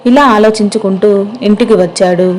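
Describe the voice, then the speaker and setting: A woman's voice: medium pitch, steady tone, a little fast, darkish tone, poor audio. Woman narrating a moral story.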